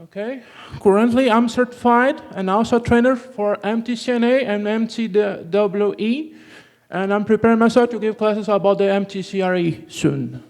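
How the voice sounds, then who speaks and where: Brazilian man presenting in a reverberant hall. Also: high voice. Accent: Brazilian Portuguese. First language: Portuguese. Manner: halting, restarts words, tags sentences with "okay". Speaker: conference presenter. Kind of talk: technical conference talk.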